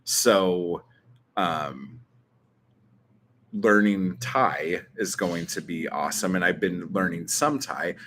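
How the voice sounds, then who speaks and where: A man's voice: deep voice, slow pace, fairly bright tone, slight background noise. A young man from Southern California with that accent, chatting casually in a vlog, rambling with plenty of ums and likes and the odd swear. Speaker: channel host answering viewer questions.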